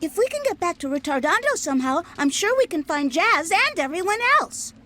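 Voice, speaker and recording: A woman's voice: very high-pitched, sing-song, a little fast, fairly bright tone, exaggerated voice. An actress playing a cheerful, eager young woman in a game cutscene, talking in an upbeat way.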